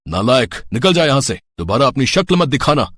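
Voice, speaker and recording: A man voicing a stern, bossy rich employer in a cartoon moral story, with a gruff, commanding manner. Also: mid-range voice, very animated, a little fast, fairly bright tone, no echo. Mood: furious.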